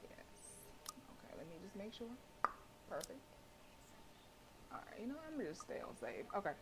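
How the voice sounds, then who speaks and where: A woman's voice: mid-range voice, moderate pace, creaky, very noisy. A woman streaming a visual novel, reading the story aloud and reacting with brash, profane, slangy commentary. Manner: brash, animated and profane, with slangy trash talk.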